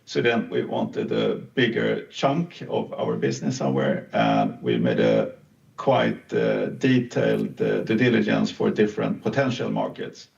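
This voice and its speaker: Slow gravelly man on a distant call. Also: lowish voice, animated, a little mellow. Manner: slow, hesitant, with many fillers. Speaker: CEO and founder. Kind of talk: webinar company presentation. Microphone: video call. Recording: distant dull video call audio.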